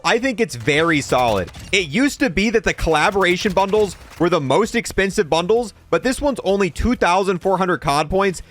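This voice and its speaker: This is a man doing casual gaming commentary. His voice sits a little high and moves around in a lively way as he walks viewers through a showcase. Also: slight background noise. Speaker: gaming video creator.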